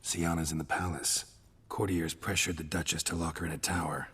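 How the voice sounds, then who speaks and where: An actor playing a gruff, weary swordsman who hunts monsters in a video game, speaking in terse, deadpan sentences. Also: deep voice, brisk, heavily acted. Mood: deadpan, matter-of-fact.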